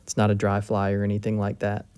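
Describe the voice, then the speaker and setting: A man narrates a hands-on craft tutorial in a calm, methodical way. His voice is mellow and dark, with very little rise and fall. He sounds relaxed and plainspoken as he explains each step. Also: lowish voice, fast talker, clean tone. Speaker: fly-tying instructor. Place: home fly-tying bench.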